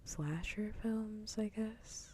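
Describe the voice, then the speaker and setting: A woman hosting an ASMR livestream, chatting casually in a soft, breathy whisper close to the mic, with unhurried, sweary rambling. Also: mid-range voice, a little hiss or hum. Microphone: close ASMR microphone.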